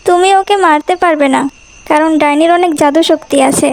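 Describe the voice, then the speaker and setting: A woman's voice: sits high, steady tone, brisk, darkish tone, dry recording, lightly acted. A woman voicing a solemn water fairy in a fairy-tale cartoon, giving grave guidance. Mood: solemn warning.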